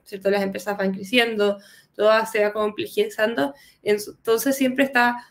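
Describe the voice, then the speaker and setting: A young woman with a Chilean accent, presenting a tech lesson on a video call, casual and chatty, with a cold. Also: medium pitch. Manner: casual, often adds "¿cierto?" as a tag. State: has a cold, coughs. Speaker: guest speaker. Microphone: webcam video call.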